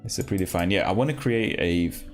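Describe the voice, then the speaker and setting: A man hosting a live coding stream, talking casually and haltingly as he thinks aloud and asks himself questions. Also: deep voice, faint music behind. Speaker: livestream host, programmer.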